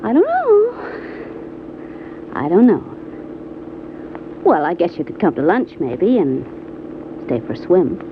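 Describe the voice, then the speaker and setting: A woman's voice: on the low side, wildly swooping pitch, slow pace, long pauses, full character voice. An actress playing a poised, alluring woman in her twenties, coy and composed, in an old-time radio drama. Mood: hesitant, inviting. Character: alluring, poised young woman, about twenty-five, coyly inviting. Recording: dull, noisy vintage radio recording.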